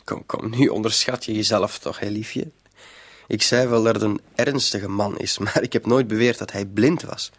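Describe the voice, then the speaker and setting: An actor playing a shady small-time crook in a radio play, hesitant yet smooth-talking and insinuating, coaxing with a quiet threat underneath. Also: male voice, on the low side, steady tone, normal speed, close to an everyday voice. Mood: coaxing, scheming. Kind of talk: Dutch-language radio play acting.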